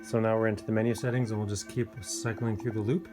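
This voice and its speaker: A man giving a casual hands-on gadget review, speaking informally and hesitantly with pauses mid-thought. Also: on the low side, flat delivery, music in the background. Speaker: product reviewer. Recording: clear background noise with clicks.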